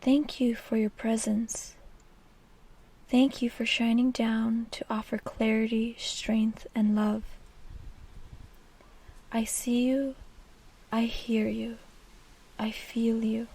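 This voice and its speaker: A woman leading a guided meditation in a soft, breathy, half-whispered and soothing delivery. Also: fairly high pitch, flat delivery, takes it slowly, pauses often.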